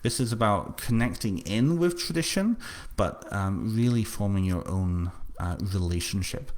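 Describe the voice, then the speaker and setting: Author host, male, low register, faint North Welsh lilt, hesitant casual delivery. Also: lively intonation, darkish tone. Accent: slight North Welsh. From North Wales. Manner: halting, repeats words, many fillers. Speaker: author hosting a book launch livestream. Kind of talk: casual live stream talk, answering comments.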